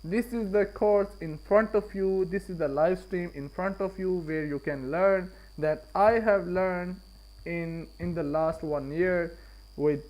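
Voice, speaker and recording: A man's voice: high voice, slow pace, a little mellow, poor audio. A man with a Pakistani accent hosting a casual live trading stream, repeating and rephrasing his points for emphasis. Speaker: live-stream forex trader and host.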